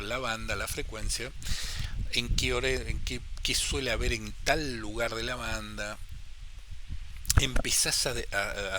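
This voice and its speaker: A man's voice: mid-range voice, noisy background. A man with a Rioplatense Spanish accent explaining amateur radio in a casual, chatty way, often repeating phrases and acting out questions he imagines from listeners. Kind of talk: casual how-to talk.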